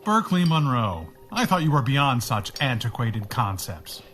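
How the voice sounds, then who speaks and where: A suave male sidekick from a pulp radio drama. He is jaunty, wry and teasing, and soft music sits behind him. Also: mid-range voice, big pitch swings, moderate pace.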